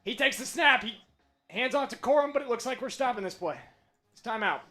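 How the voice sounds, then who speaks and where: Hoarse, screaming fan play-by-play man. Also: very high voice, lively intonation, fairly quick, faint music behind. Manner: yells the big plays, otherwise talks in a strained, hushed voice. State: losing his voice from screaming. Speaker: watch party play-by-play host. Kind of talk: excited fan play-by-play on a livestream.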